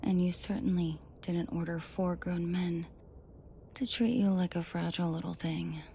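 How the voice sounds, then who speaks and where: A woman's voice: fairly low pitch, steady tone, gravelly, mellow, slight background noise. A woman playing a female mafia boss in a scripted roleplay audio drama, controlled and commanding, menacing to her men yet protective and tender. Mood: gentle, commanding. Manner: controlled, commanding, slow and deliberate, often dropping to whispers. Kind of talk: scripted roleplay audio drama, intimate direct address to listener.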